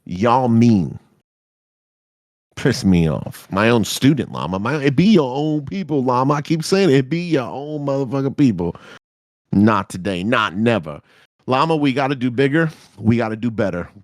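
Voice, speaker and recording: A man in his thirties co-hosting a podcast, talking loud, loose and profane. He rambles and jokes in a middle-pitched voice. The sound is close and dry, with no room echo. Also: animated, normal speed. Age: 30s. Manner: loud, rambling, swearing, jokey. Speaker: podcast co-host. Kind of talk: profane casual podcast banter.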